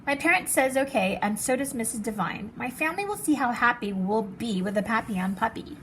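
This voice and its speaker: A woman reading as an excitable, fancy little girl from a storybook, dramatic and showy with her favorite words. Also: a little high, brisk, a little hiss or hum, rough recording. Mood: eager.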